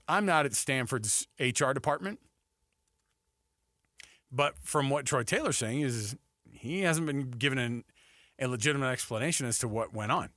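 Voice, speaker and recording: A man with a mid-pitched voice hosts casual sports talk in a very dry studio. He speaks conversationally and often repeats a phrase to drive it home. The microphone is close. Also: sharp tone, dry recording. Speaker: sports radio host. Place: radio studio.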